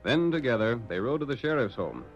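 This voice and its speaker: A man's voice: medium pitch, very animated, fairly quick. A man giving dramatic, scripted narration in the style of an old-time radio western. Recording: muffled vintage radio recording. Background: music.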